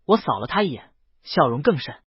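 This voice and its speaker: A man narrating a first-person story in a scripted audiobook reading, with a lively and expressive delivery. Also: on the high side, fairly quick, slightly rough, dry recording. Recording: dry, dull lo-fi recording.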